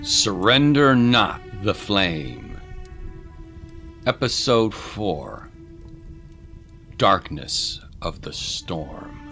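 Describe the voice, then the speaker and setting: A man narrating a dramatic story from a script in a solemn, deliberate manner. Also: low-pitched, very animated, bright, forceful tone. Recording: music behind the voice. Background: music.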